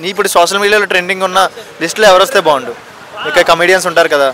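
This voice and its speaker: A man's voice: sits high, very fast, pushed and bright. A man interviewing people on the street, prodding them and echoing their answers back as questions. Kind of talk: vox pop interviewing.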